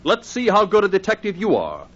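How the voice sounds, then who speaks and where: A man's voice: high voice, wildly swooping pitch, brisk, a bit bright. A man announcing an old-time radio programme dramatically, addressing the listeners. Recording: muffled old radio recording. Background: organ music.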